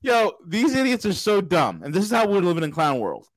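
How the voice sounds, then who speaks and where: High-voiced man ranting on a podcast. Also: wildly swooping pitch, brisk, no room sound. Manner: loud, profane, mocking rants. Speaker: podcast host. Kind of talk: casual talk show banter.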